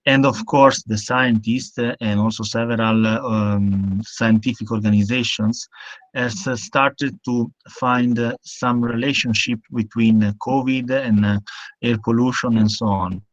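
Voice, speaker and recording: A man's voice: mid-range voice, fairly even intonation, a little slow, dry recording. A man from northern Italy with an Italian accent, speaking hesitantly in non-native English as a guest speaker in an online panel discussion. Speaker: guest speaker on climate action. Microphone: video call. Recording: dull, lo-fi video call audio.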